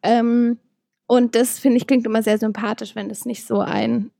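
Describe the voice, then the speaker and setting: A woman's voice: fairly high pitch, steady tone, normal speed, vocal fry, mellow, no echo, studio quality. A young woman with a Berlin accent co-hosting a podcast, talking casually with frequent fillers, restarts and laughs. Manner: chatty, many fillers and restarts, laughs often. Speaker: podcast co-host.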